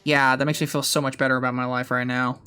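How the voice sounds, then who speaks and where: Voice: medium pitch, flat delivery, quick pace, bright, forceful tone, clean tone. A game streamer chatting casually on a live stream, thinking aloud hesitantly with mild swearing. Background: music.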